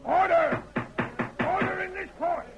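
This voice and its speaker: An actor playing a crooked, blustering frontier judge in an old-time radio western, gruff and commanding. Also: male voice, very high-pitched, fairly even intonation, measured pace, lo-fi sound. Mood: outraged. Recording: old radio recording, music behind.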